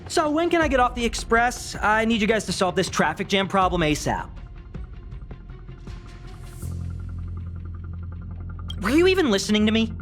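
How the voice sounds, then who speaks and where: Animated, ambitious young schemer. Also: female voice, a little high, fast talker. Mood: impatient. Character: ambitious, theatrical young businesswoman, money-minded schemer. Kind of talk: video game voice acting. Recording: music behind the voice.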